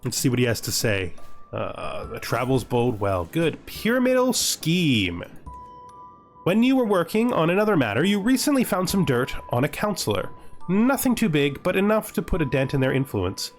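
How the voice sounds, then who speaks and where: A man's voice: fairly high pitch, wildly swooping pitch, normal speed, soft background music. A man giving casual let's-play commentary, thinking aloud in short remarks and at times reading game text out. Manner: thinks aloud in short remarks, role-playing along in the first person. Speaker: gaming video host playing and commenting.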